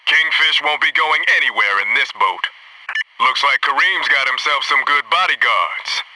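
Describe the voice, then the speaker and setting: A man's voice: medium pitch, moderate pace, far from the mic, lightly acted. Man playing a clipped soldier on noisy radio. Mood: focused, steady. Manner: clipped, businesslike radio-report delivery. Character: disciplined Navy SEAL soldier, steady, dryly wry. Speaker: special forces teammate reporting to headquarters. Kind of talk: military shooter game voice lines.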